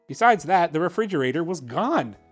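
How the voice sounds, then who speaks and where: A man's voice: on the high side, big pitch swings, a little fast, breathy edge, soft background music. A man hosting a story time, narrating a children's picture book expressively. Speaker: host reading children's picture books.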